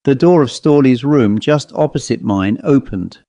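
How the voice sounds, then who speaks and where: A man's voice: medium pitch, expressive, normal speed, warm tone, no echo. A man reading a scripted ghost story as an audiobook narrator.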